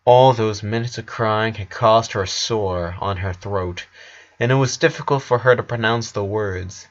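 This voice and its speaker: A man's voice: lowish voice, normal speed. A man reading a story aloud as scripted narration, in the role of narrator. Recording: dull lo-fi recording.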